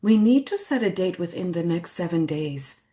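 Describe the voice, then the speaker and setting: An actress playing a firm, traditional village woman in a staged drama reading, blunt and deliberate with her old friend. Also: fairly low pitch, lively intonation, mellow, natural voice. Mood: firm, insistent. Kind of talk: staged script read of a drama.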